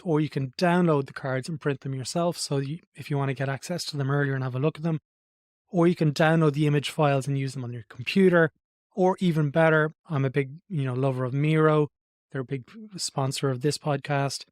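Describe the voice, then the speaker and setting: A man's voice: on the high side, no echo, clean studio sound. A man hosting a design podcast, talking in an enthusiastic, conversational way. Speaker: podcast host. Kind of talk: podcast intro and interview.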